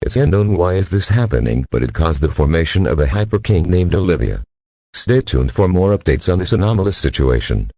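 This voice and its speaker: A man's voice: deep voice, very animated, brisk, mellow, slight background noise. A man reading a scripted civil emergency bulletin in a formal, official way. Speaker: emergency alert announcer.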